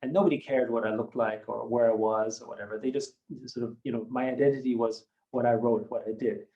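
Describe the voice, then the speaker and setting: A man in his fifties, a German native speaker who grew up in Austria, answering interview questions in a measured, reflective way with many hedging fillers. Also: medium pitch, fairly even intonation, muffled recording. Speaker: company founder and guest. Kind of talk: podcast interview.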